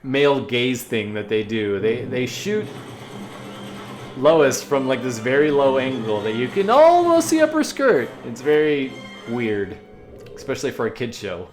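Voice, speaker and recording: A man hosting a reaction video, chatting casually and musingly in halting asides as he comments on a cartoon. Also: mid-range voice, huge pitch swings, soft background music.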